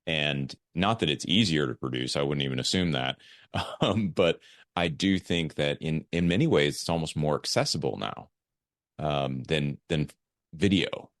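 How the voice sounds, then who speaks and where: A man's voice: rumbling low, animated, normal speed, no echo, studio quality. A man hosting a podcast in casual interview chat, reflectively thinking aloud as he talks. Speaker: podcast host.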